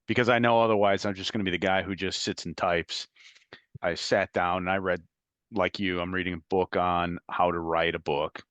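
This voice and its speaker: A middle-aged man with a very low, deep voice. He speaks reflectively in a relaxed interview and chuckles through his stories. The sound is close and dry, with no room around it. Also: no echo. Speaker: guest, former FBI agent. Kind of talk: conversational interview.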